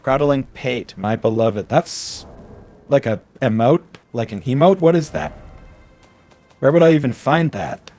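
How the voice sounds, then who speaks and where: A man's voice: mid-range voice, a little slow, a little mellow, faint music behind. A man casually commenting aloud as he plays through a video game on stream. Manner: chatty, reacting aloud as he plays.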